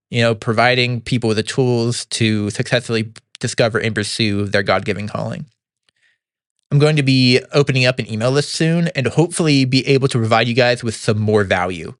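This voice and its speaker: A young man talks through a casual podcast recap, upbeat and quick to laugh, often stopping and restarting his sentences. His voice is smooth and clear, with a slight gravel now and then, and it sits at a middle pitch. The recording is close and dry, with no room sound. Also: dry recording. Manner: halting, many restarts and stammers. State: throat sometimes tight and slightly gravelly. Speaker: podcast host. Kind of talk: casual monthly recap monologue.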